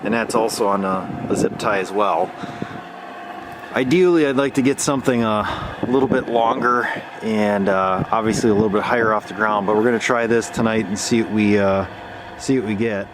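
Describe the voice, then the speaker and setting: A man's voice: lowish voice, normal speed. A man narrating a hands-on radio hobby video in a casual, unscripted way, thinking aloud as he goes. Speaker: hobbyist demonstrating shortwave radio reception. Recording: heavy background noise, amateur camera recording.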